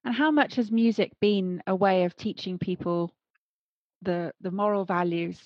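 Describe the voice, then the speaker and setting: A woman's voice: mid-range voice, moderate pace, dry recording, highs cut off. A woman interviewing a guest on a podcast in an earnest, supportive, conversational way.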